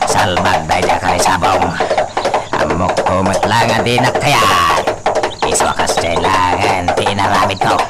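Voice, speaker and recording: Man acting a very deep, slow Ilocano henchman. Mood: menacing, gloating. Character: menacing male henchman who laughs over his cruelty. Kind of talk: Ilocano radio-style love drama acting. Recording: loud music under the voice.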